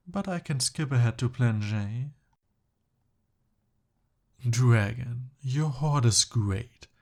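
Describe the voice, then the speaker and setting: A man acts out a pompous young prince in an ASMR audio drama. His mid-pitched voice is a little husky and takes its time. He speaks in lofty, formal phrases, yet comes across flustered and pleading. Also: a little mellow. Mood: pompous, scheming. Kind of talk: ASMR roleplay voice acting.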